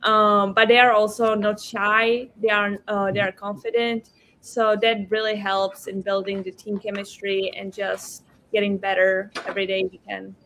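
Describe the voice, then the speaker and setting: A woman's voice: medium pitch, little pitch movement, moderate pace, fairly bright tone, poor audio. A young woman with a Czech accent, a basketball player guesting on a podcast, giving short, plain answers. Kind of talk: casual interview chat.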